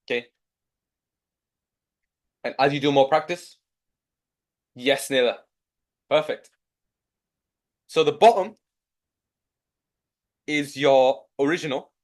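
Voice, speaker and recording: A young man with a Yorkshire accent runs a live online maths session as the tutor. He keeps nudging the class along with encouraging prompts, and his voice sits at an ordinary middle pitch for a man. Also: slow pace, pauses often. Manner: encouraging, coaxing students.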